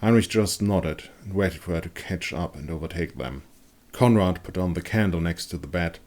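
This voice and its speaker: A man giving a dramatic scripted narration of a dark fantasy story. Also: deep voice. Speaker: author and narrator.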